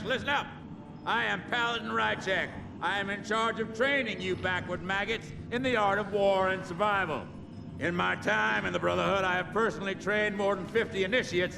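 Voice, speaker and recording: An actor playing a belligerent military drill instructor in a video game, barking orders and insults in a commanding manner. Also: male voice, high voice, vocal fry, background noise. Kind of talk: video game cutscene acting.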